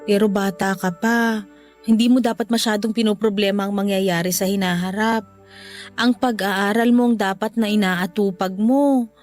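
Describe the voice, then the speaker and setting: A woman's voice: medium pitch, fairly even intonation, moderate pace, soft background music, lightly acted. Careworn, loving Filipino mother, acted by a woman. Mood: tender, apologetic. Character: poor, anxious, loving mother of a young child. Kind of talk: Filipino radio drama acting.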